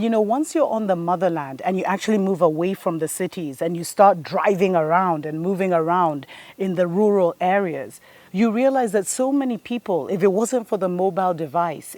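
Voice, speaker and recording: A woman's voice: on the low side, darkish tone, no room sound. A woman, hosting a spiritual show and giving an earnest, preachy monologue that speaks to her listeners as family. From Kenya.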